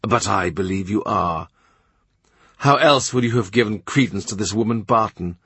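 A man's voice: on the low side, moderate pace, a bit bright, husky, no echo. A man voicing a shrewd middle-aged royal minister who talks in a dry, cutting, sardonic way. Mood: cool, sardonic. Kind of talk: audiobook character reading.

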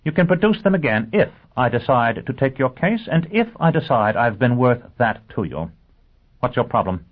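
A man voicing a brisk, skeptical, sharp-tongued lawyer in an audiobook, firing off clipped, incredulous questions. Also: mid-range voice, sing-song, breathy edge, pitched above the performer's own voice. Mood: demanding. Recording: narrow phone-like sound.

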